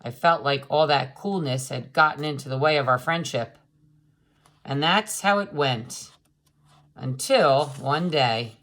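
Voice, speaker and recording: A woman reading a children's picture book aloud expressively, with dramatic drawn-out words and pauses. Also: sits low, fairly bright tone.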